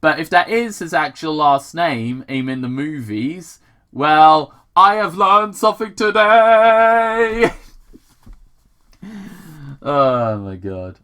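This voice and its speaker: A man's voice: on the high side, sing-song, takes it slowly, long pauses, fairly bright tone, clear, resonant tone. A man casually reading fan fiction aloud with commentary, stumbling over words, repeating himself and swearing in asides.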